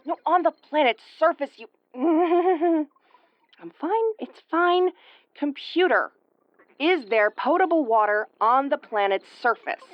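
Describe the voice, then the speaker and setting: A woman's voice: sits high, animated, takes it slowly, no room sound, dull audio. A woman playing a brash, easily flustered starship commander with a tomboyish, brusque, sweary delivery in an audio roleplay. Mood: wary, exasperated. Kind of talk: audio roleplay acting, spoken to the listener.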